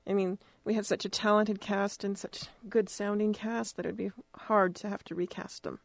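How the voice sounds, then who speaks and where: A woman's voice: mid-range voice, steady tone, normal speed, creaky, no echo. An American woman hosting a casual interview, talking in an informal, chatty way. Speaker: interviewer, radio drama producer.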